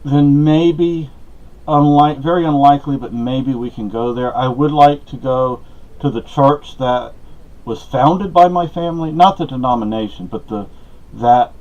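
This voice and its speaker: A man's voice: mid-range voice, measured pace, a little mellow. A middle-aged man gives a casual, rambling monologue, conversational and full of asides and personal anecdotes. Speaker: podcast host giving personal updates. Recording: dull lo-fi audio.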